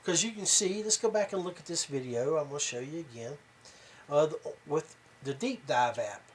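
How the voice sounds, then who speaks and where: A man hosting a podcast, talking casually and haltingly to his viewers, rambling along with pauses and repeats. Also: a little high, a little hiss or hum. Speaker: podcast host. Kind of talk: casual rambling talk to viewers about tournament fishing.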